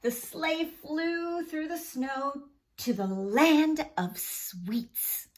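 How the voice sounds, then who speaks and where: A woman's voice: high-pitched, drawn-out words. A woman narrating a children's storybook expressively, with dramatic, careful delivery for young listeners.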